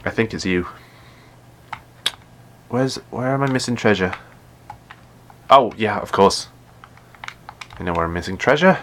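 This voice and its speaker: A man with a deep voice chatting casually while playing a game live, often stumbling and repeating words. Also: expressive, measured pace, long pauses, fairly bright tone, slight background noise. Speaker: gaming live streamer.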